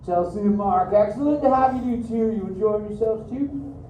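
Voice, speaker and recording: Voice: mid-range voice, normal speed, mellow, lightly acted. A performer voicing a laid-back surfer dude sea turtle in a live theater show, chatting playfully with the audience. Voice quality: drawling, goofy, frequent "dude". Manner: playful, chats with audience, laughs a lot. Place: indoor theater. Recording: echoey theater recorded from far with noise.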